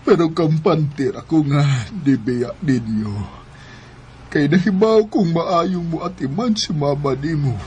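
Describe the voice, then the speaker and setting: A man with a Bisaya accent playing a dying, repentant father in a radio drama, with halting, pleading, emotional delivery. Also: on the high side, animated, a little mellow, a little hiss or hum. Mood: weary, pleading. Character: dying repentant father and husband, weak and tender. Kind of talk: Philippine radio drama acting.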